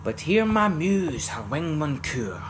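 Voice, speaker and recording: A Scottish man recites narrative verse in a theatrical, expressive manner. His pitch moves widely and his pacing is unhurried. The recording carries clear background noise. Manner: theatrical, expressive, swinging from hushed to loud. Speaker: poetry reciter. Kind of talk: dramatic recitation of a Scots narrative poem.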